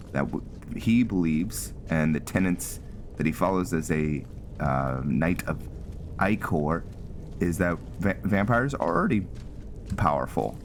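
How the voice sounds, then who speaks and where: A man hosting a gaming video with casual, rambling, halting commentary over the game. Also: low-pitched, a little hiss or hum. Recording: clear game ambience behind voice. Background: game sounds.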